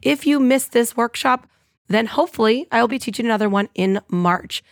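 A woman hosting her own podcast in an upbeat, chatty monologue, her voice a little scratchy and hoarse. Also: a little high, brisk, dry recording, clean studio sound. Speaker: podcast host.

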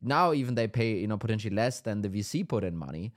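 A man's voice: on the low side, expressive, quick pace. A man hosting a casual business podcast, explaining things at length with many ums and restarts; his first language is Danish. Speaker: podcast host.

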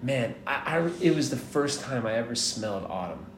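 A man's voice: mid-range voice, expressive, moderate pace. A man in his thirties from the United States, talking as a guest in a casual interview, laid-back and rambling, laughing now and then and swearing casually. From United States, born in Miami, raised in Atlanta. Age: 30s. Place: hostel. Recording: roomy echo with clear background noise.

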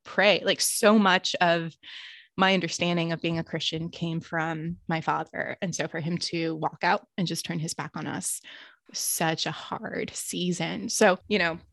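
A woman in her thirties, an author and podcaster, telling her life story as an interview guest, earnest and reflective with frequent fillers and soft laughs. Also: lowish voice, fairly even intonation, measured pace, vocal fry, no echo. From born in Greece, raised in the United States and Romania.

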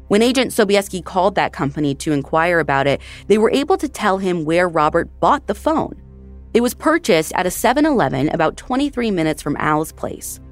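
Lively low-voiced woman narrating true crime. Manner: conversational, addresses listeners directly. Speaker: podcast host.

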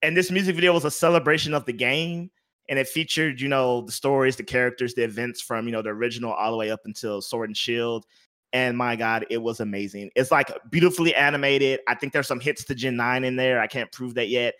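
A man chatting on a podcast, enthusiastic, sometimes dropping into soft asides. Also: mid-range voice, fairly quick. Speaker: podcast co-host. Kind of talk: casual chat.

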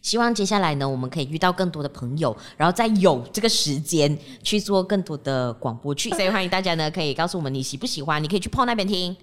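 A woman podcast host with a Malaysian Mandarin accent. Her voice sits a little low and moves around in very animated swings. She chats casually and banters in a giggly way. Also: fast talker, few pauses. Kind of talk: casual group chat, podcast sign-off.

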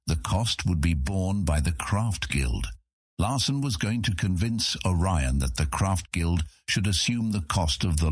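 A man narrating a scripted fantasy audiobook in a measured storytelling manner. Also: very deep voice, slightly rough, dry recording, clean studio sound.

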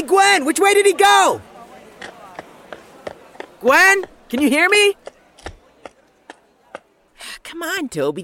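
A man's voice: very high voice, bright, forceful tone, slight background noise, exaggerated voice. A man playing a sarcastic, exasperated young adventurer in a fantasy audio drama.

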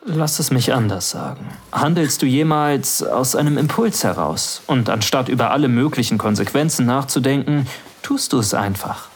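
A man acting in an intimate audio drama as a cheeky best man, soft and halting as he courts a woman. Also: medium pitch, expressive, slight background noise, lightly acted. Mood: challenging, playful. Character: cheeky best man, daring but suddenly hesitant suitor.

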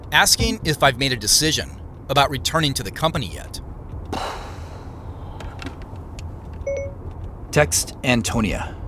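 An edgy, suspicious male investigator played by an actor in a naturalistic audio drama. His delivery is dry and guarded, yet the pitch moves around a lot. Music plays clearly underneath. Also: close to an everyday voice. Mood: subdued, pensive. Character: suspicious, on-edge man investigating a mystery.

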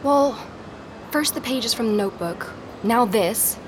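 A woman's voice: a little high, lively intonation. Hesitant young woman investigator, street noise. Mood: troubled, explaining. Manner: hesitant, halting, with repeated words. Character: earnest young woman investigator recording her search, rattled and puzzled. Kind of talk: naturalistic audio drama, recorded diary and phone calls. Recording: street and vehicle noise behind.

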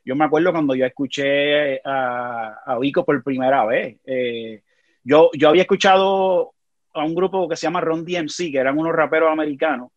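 A man with a Puerto Rican accent, a podcast guest telling animated stories in a casual conversation. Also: a little high, normal speed, dry recording, muffled recording. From Puerto Rico. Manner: animated storyteller, frequent restarts.

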